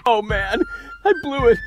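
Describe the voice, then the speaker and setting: A man's voice: extremely high pitch, big pitch swings, takes it slowly, lo-fi sound, music in the background, exaggerated voice. An actor playing a cocky, goofy young man in a video game, glib and theatrical. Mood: giggly. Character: cocky, goofy young man, giggling self-appointed resistance schemer. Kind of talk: video game cutscene voice acting.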